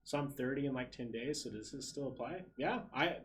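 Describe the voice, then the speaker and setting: A man's voice: medium pitch, animated, brisk, a bit bright, a little hiss or hum. A man in his twenties hosting a casual podcast chat, laid-back and swearing freely, in a small studio room. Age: 20s. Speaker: podcast host.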